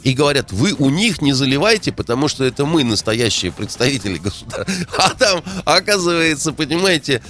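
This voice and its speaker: A man's voice: medium pitch, very animated, brisk, hardly any pauses, fairly bright tone. A man in a heated political discussion, indignant, firing rhetorical questions and hesitating often.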